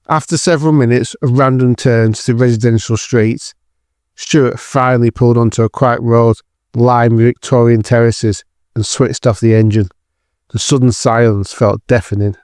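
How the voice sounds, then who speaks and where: Male narrator, scripted dramatic storytelling, medium pitch, dry close recording, audio drama. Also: darkish tone, clean tone, dry recording.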